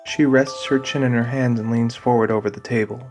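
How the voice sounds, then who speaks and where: A man giving a scripted, deliberate narration of a fantasy audio drama. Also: mid-range voice, fairly even intonation, a little mellow, faint music behind.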